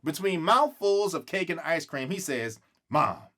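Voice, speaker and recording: Very animated man reading a children's story. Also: on the high side, moderate pace. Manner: acts out dialogue, stretches words for emphasis. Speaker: reader of a children's book. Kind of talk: expressive read-aloud storytelling.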